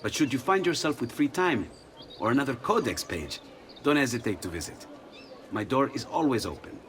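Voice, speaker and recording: A man's voice: mid-range voice, expressive, moderate pace, a bit bright, slight background noise, lightly acted. A man playing a friendly, enthusiastic inventor in a video game, eager and courteous, with an Italian accent. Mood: friendly, inviting. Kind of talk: video game character acting.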